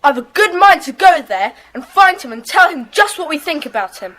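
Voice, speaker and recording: Outspoken kid played by a woman, extremely high, bright voice, animated and loyal, for children's audio play. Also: normal speed. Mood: indignant. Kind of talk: children's audio drama acting.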